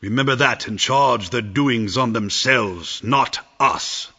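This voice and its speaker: An actor playing a gigantic, generous and solemn ghostly spirit with a husky voice in an audio play. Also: male voice, mid-range voice, slow pace, bright, forceful tone, heavily acted. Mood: stern.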